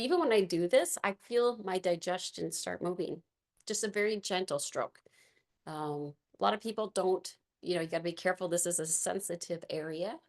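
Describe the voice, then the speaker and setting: A woman with a raspy voice leading a gentle movement and meditation class, giving soft, unhurried instructions with fillers. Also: mid-range voice.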